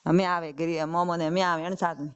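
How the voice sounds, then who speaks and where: A woman's voice: fairly low pitch, little pitch movement, brisk, smooth. A woman with a Rajasthani accent talking casually and bluntly on a phone call, often repeating herself. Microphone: telephone. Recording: dull lo-fi phone call recording.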